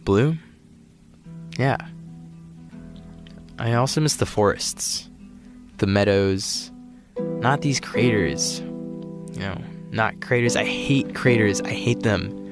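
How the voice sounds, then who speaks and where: An actor playing a weary, despairing space flight attendant in an audio drama, speaking in a halting, dejected, grumbling way. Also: male voice, lowish voice. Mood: wistful, grumbling. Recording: music behind the voice.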